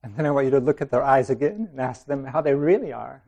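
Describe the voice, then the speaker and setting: A man leading a group discussion in a meeting room. He talks in a relaxed way, laughing often and restarting his sentences. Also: medium pitch, fast, vocal fry, muffled recording. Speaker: meditation group leader.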